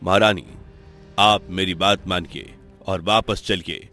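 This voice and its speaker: A man's voice: low-pitched, steady tone, slow pace, bright, forceful tone, soft background music, heavily acted. An actor playing a caring, dutiful king in a cartoon moral story, formal and respectful. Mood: concerned.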